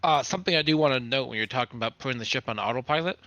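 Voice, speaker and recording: A man's voice: mid-range voice, rapid-fire, pushed and bright, no echo, muffled recording. A man playing a tabletop roleplaying game, speaking casually in character to the other players.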